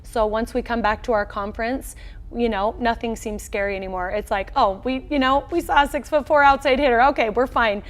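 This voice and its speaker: A woman's voice: a little high, fairly quick. An American woman in her thirties talking as a coach in a sports interview, upbeat and plain-spoken in a conversational way. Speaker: volleyball head coach, interview guest. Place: small indoor locker-room set.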